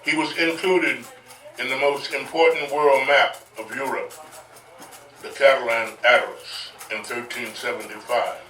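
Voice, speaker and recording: A man narrating history on stage in a deliberate, emphatic way, like a live recitation. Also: mid-range voice, fairly even intonation, fairly bright tone. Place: echoey venue, live stage performance. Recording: echoey, distant mic, faint music.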